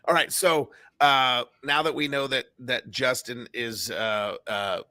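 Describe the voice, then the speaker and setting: An American man with a slightly raspy voice co-hosting a lighthearted morning show, bantering casually in a joking, teasing way. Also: medium pitch, slow, pushed and bright, no echo.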